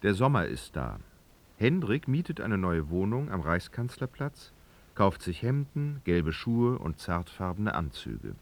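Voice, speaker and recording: A man's voice: sits low, wildly swooping pitch, unhurried, heavily acted. A man narrating a literary radio drama, reading expressively and dramatically.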